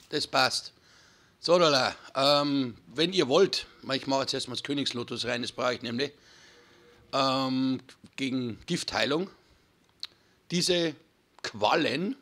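A hoarse man talks through a video game in a relaxed, chatty way, calling his viewers friends. His voice has a bright, forward edge despite the hoarseness. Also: mid-range voice. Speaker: gaming channel host. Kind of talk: casual let's-play commentary.

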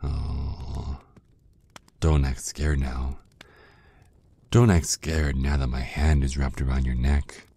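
Man acting a very deep, husky jealous vampire ex. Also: fairly even intonation, mellow, dry recording, heavily acted. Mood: menacing. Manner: slow, taunting, breathy murmur. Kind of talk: intimate ASMR roleplay acting.

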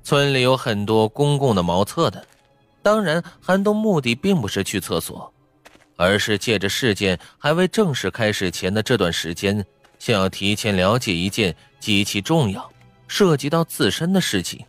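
A man's voice: lowish voice, sing-song, moderate pace, no room sound. A man narrating fiction from a script as a dramatic audiobook. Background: faint background music.

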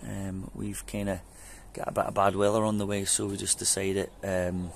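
A man with a very low voice talks viewers through a chore in loose, chatty sentences, full of ums and restarts. He is out in his back garden, caught by a camera mic with soft outdoor sound behind him. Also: flat delivery, normal speed, gravelly, slight background noise. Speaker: home DIY vlogger. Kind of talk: casual how-to vlog. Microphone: camera mic on a fixed camera.

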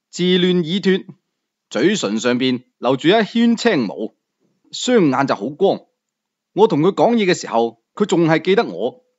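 A man narrating a novel in the first person as a storyteller, in an audiobook reading. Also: dull audio. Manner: first-person storytelling, rising and falling pitch.

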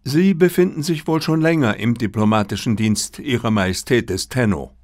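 A man reading the part of a confident, gently mocking detective with an assured, lecturing manner in an audiobook. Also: mid-range voice, moderate pace, no room sound, clean studio sound, close to an everyday voice. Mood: dry, lecturing.

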